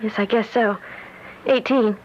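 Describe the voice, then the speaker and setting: A woman's voice: a little high, steady tone, measured pace, close to an everyday voice. An actress playing a sweet, sheltered eighteen-year-old small-town girl, polite and wide-eyed, in an old-time radio mystery. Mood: wistful. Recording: dull, hissy old radio recording.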